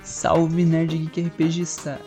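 A woman introduces her tabletop character with a friendly, upbeat greeting. Her voice is lowish and mellow. Soft music sits beneath. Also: completely flat delivery, slow. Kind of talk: casual scripted introduction. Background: faint music.

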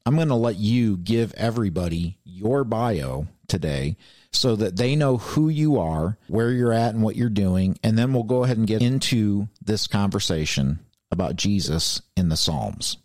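A man's voice: on the low side, lively intonation, moderate pace, darkish tone, dry recording, studio quality. A man hosting a faith podcast, speaking in an earnest, heartfelt way. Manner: heartfelt, calls his guest "brother". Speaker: podcast host.

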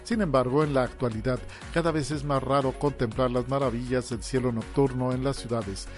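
A man's voice: medium pitch, steady tone, fairly quick. A man with a Mexican accent reading scripted radio news reports. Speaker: news reader. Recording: music bed behind the voice. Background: music.

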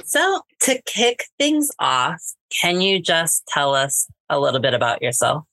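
Woman, podcast co-host, low pitch, bright forceful tone, dry close sound, casual friendly questions. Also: lively intonation, measured pace, no room sound. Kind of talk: casual interview chat.

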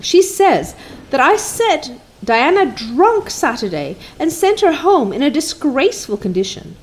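A woman's voice: very animated, a little slow, low-quality recording. A woman voicing a dramatic, tearful young orphan girl who wails and sobs theatrically. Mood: wailing. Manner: wailing, theatrical, high and sobbing.

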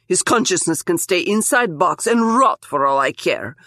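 A brutish gangster boss with a heavy accent, as a woman narrator puts him on, speaking in clipped, broken phrases full of menace. His voice sits low and steady, recorded close and dry. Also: normal speed, dry recording. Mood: menacing. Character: brutish heavily accented male gangster employer.

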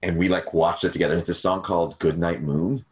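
A man's voice: low voice, fairly even intonation, a little fast, a little hiss or hum. A young man chatting casually over a video call as a podcast guest, rambling and self-deprecating with lots of fillers. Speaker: podcast guest, cover singer.

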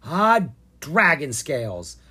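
A narrator putting on an excitable, cheeky girl dragon, pitched up. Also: normal speed, husky. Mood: frustrated. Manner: excitable, taunting, yelling.

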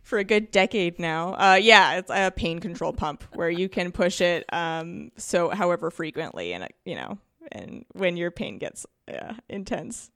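A woman chatting casually on a podcast, animated and halting, often repeating herself. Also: fairly low pitch, dry recording, clean studio sound. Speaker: podcast guest, nurse. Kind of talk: casual chat.

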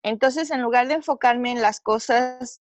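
A woman's voice: a little high, normal speed, no room sound. A middle-aged woman from Mexico with a Mexican Spanish accent, telling her story earnestly as an interview guest, with fillers and restarts.

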